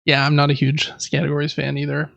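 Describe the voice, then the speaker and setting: Gravelly-edged man chatting, slightly high voice. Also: completely flat delivery, quick pace, fairly bright tone, no room sound, lo-fi sound. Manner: conversational, explanatory. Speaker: podcast co-host. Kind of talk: casual chat about board games.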